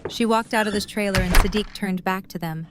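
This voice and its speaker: A woman's voice: medium pitch, a little fast, background noise. A woman reading scripted story narration as the narrator of an audio drama.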